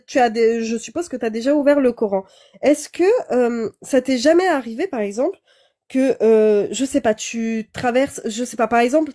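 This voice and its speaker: A young French-speaking woman, her voice a little higher than most, speaks haltingly on a live debate call. She fills her sentences with pauses and restarts as she gathers her thoughts. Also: dark tone. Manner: hesitant, many fillers and restarts. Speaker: caller.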